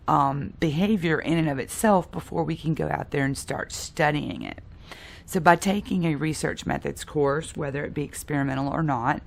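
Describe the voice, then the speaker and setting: A woman's voice: low-pitched, creaky. A woman in her thirties or forties giving a recorded psychology lecture, sounding a little stuffy from a cold and explaining with the odd um and restart. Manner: explanatory, with filler ums and restarts. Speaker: psychology professor.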